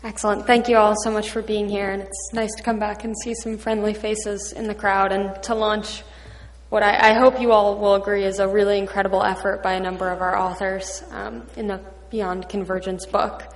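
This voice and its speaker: Woman moderator, flat even delivery, formal bios, long hall reverb. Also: mid-range voice. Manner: reading formal bios with light asides. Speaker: panel moderator. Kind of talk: introducing panelists. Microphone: microphone.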